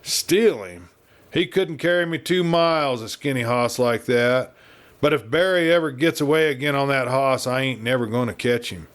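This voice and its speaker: A man's voice: medium pitch, normal speed, lightly acted. Audiobook Western heavy: grim, contemptuous big man, rustic American drawl, growled delivery, performed by a male reader. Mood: menacing. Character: big grim ruthless cowboy villain of the American West. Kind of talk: Western audiobook character reading.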